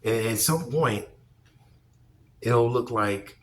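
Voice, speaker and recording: A man's voice: medium pitch, slow pace, slight background noise. A man giving casual opinion commentary as a vlogger, speaking bluntly and emphatically with plenty of swearing.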